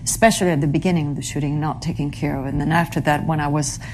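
A woman being interviewed about her acting career, speaking haltingly and often pausing to search for the right English word. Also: low-pitched, fairly even intonation, a little mellow, slight background noise. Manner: halting, searches for English words, many fillers. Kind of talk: reflective interview answers.

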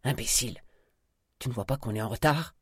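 Guy doing audiobook villain, desperate and bossy, hot tempered, kinda husky, dry sound. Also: medium pitch, fairly quick, fairly bright tone, dry recording. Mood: angry. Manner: brutal, commanding, hot-tempered. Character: desperate, defiant man threatening with a deadly virus spray.

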